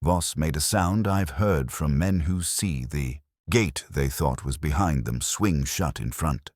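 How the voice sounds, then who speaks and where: A man's voice: rumbling low, slow, darkish tone, dry recording, clean studio sound. A man reading scripted first-person detective fiction as a narrator. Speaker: audiobook narrator.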